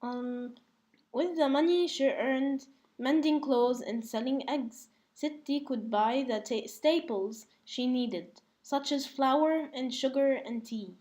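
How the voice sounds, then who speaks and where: A female student speaks in class at a slow pace. Her voice sits a little high, with the delivery of someone answering or reading aloud for a teacher. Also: darkish tone. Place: indoor classroom.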